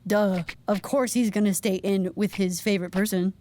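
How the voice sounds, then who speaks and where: A woman playing a stubborn young woman speaks casually at a middling pitch. She is sardonic, curt and quick to dismiss, in audio drama style, with faint ambient noise behind her. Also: steady tone, lightly acted. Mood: flippant.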